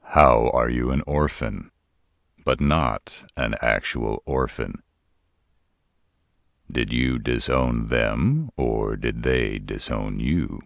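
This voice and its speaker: A gruff family patriarch, acted by a man for an audio roleplay, with an extremely deep, creaky voice. He talks slowly and bluntly, stern and shrewd, like he expects to be obeyed. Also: no room sound, studio quality, heavily acted. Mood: probing. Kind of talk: roleplay audio drama acting.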